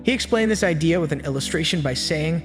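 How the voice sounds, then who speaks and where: A man's voice: fairly high pitch, expressive, quick pace. Man narrating self-help in a long reverb. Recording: long hall reverb with faint music. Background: faint background music.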